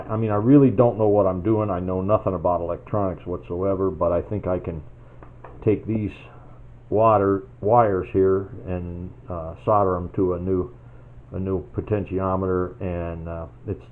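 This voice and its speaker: A man's voice: low voice, a little hiss or hum. A man giving a casual, unscripted repair demonstration as an amateur hobbyist, talking in a folksy, hesitant way as he thinks aloud. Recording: tinny phone-like band-limited sound.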